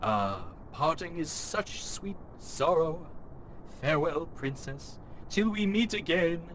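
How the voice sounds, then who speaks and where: A man's voice: on the high side, expressive, takes it slowly, a bit bright, a little hiss or hum. An actor playing a theatrical male policeman with acting ambitions in a video game, florid and courtly. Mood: grandly sorrowful. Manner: florid, melodramatic.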